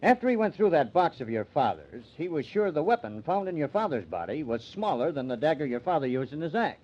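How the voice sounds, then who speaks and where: An actor playing a composed, self-assured small-town lawyer in old-time radio drama style, polite and measured. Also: male voice, on the high side, sing-song, muffled recording.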